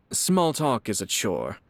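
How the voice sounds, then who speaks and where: An actor playing a composed, courteous and wry young lord in a video game, speaking formally and with measure. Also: male voice, mid-range voice, creaky, no room sound, exaggerated voice. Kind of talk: video game character acting. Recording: game music behind.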